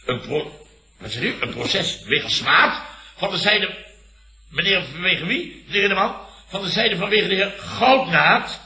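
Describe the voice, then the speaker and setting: A pompous middle-aged Dutch boss in an old radio comedy. His voice is highish and extremely bright and forceful, full of theatrical bluster. The recording sounds roomy and echoing. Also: expressive, a little slow, full character voice. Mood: flustered, deferential. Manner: theatrical, pompous declaiming and grumbling. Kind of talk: comic 1970s Dutch radio play acting.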